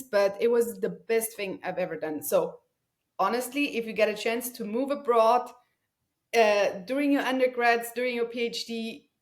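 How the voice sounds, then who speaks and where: Woman from Germany, thirties, chatty podcast guest, lots of fillers. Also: on the high side. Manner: chatty, frequent fillers and restarts, enthusiastic. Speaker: guest, company founder. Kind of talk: casual conversational interview.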